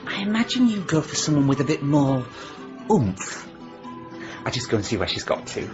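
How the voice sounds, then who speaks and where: A cheeky, familiar woman working as a salon assistant. She gossips in a wildly up-and-down voice, in a radio drama recording that is a little dull with clear music behind it. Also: deep voice, very animated, normal speed. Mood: cheeky, chatty.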